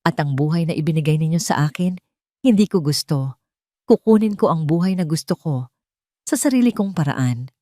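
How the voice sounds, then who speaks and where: A woman's voice: low voice, animated, moderate pace, warm tone, no echo. A woman playing a defiant wronged young wife, dry sound. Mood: angry, resolute.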